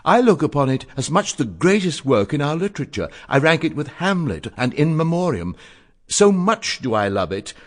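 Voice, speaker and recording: An actor playing a witty, dandified, eloquent young letter writer in a theatrical, florid, articulate reading of letters. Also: male voice, on the high side. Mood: earnest, wry. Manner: florid, expressive, measured and articulate.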